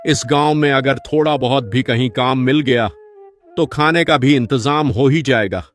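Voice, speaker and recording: Humble, God-trusting elderly uncle played by a man, animated folk tale; earnest, mid-pitched, music bed. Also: normal speed, natural voice. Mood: reassuring. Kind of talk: Hindi animated moral-story dialogue. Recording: music behind the voice.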